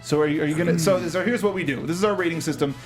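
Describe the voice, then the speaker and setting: Man, show host, casual panel chat, enthusiastic, slightly high pitch, soft music underneath. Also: racing. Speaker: host of a movie review show. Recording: music playing under the talk.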